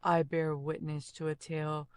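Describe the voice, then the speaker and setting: Solemn, ominous dark-tale narrator, played by a woman, clean smooth tone, dramatic voice-over, little pitch movement. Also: flat delivery, normal speed, no room sound, natural voice. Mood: grave, ominous. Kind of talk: dramatic character voice-over sample.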